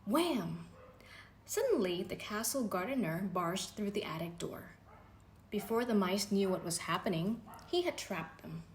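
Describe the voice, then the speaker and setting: Woman narrator, lively pitch, bedtime storybook read in an echoey room with faint noise. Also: measured pace. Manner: gentle, expressive reading with voices for characters. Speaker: storybook reader.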